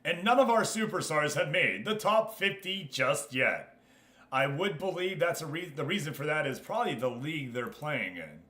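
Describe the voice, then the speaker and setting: A man's voice: a little high, lively intonation, moderate pace, pushed and bright. Casual male game streamer, echoey room. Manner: informal, chatty, addresses the group directly. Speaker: livestream host running an online football management game league. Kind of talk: casual gaming stream commentary. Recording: echoey, roomy sound.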